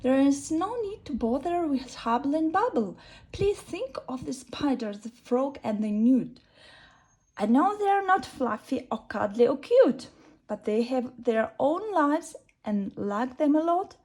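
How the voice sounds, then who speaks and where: A woman voicing a kind young girl who talks earnestly and pleads gently. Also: high-pitched, big pitch swings, unhurried, pitched above the performer's own voice.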